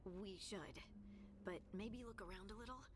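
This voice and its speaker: Actress as curious dwarf scout woman, mid-pitched, fantasy game, heavy noise behind. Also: normal speed, lightly acted. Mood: quiet wonder. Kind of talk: video game voice acting. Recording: game audio under loud noise.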